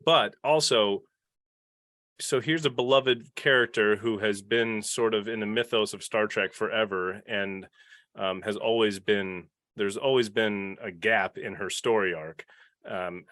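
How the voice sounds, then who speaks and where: A man talking casually and opinionatedly on a podcast panel, rambling into asides and animated retellings. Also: on the low side, moderate pace, no room sound.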